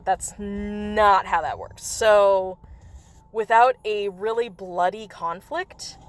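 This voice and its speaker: A woman hosting a game livestream in casual, rambling chat, her voice slightly raspy from coughing. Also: mid-range voice. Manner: rambling, chatty, with frequent laughs. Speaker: livestream host. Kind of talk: casual chat while playing a mobile game.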